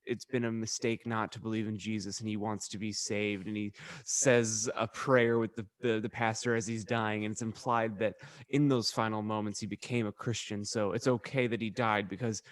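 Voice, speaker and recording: A man's voice: on the low side, flat delivery, normal speed, few pauses, no room sound. A man co-hosting a podcast, telling rambling anecdotes in a casual, comedic chat with a wry manner and ready laugh. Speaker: podcast co-host.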